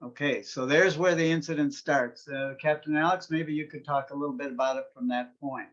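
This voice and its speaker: Guy hosting, explains things clearly, sound a bit muffled. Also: a little high, fairly even intonation, a little fast, a bit bright. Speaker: interview host. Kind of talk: interview on aviation.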